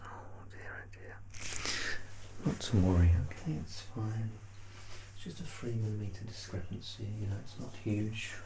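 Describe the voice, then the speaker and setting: An ASMR performer speaking in a soft, unhurried murmur that slips into breathy whispers, reassuring and gently playful in a personal-attention roleplay. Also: medium pitch, poor audio. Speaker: ASMR performer playing a measurer.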